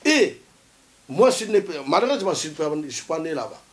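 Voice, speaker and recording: Male TV guest, high and very animated, insistent and repetitive. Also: a little fast. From Democratic Republic of the Congo. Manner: insistent, repeats himself to hold the floor. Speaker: studio guest. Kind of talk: heated debate on a TV talk show.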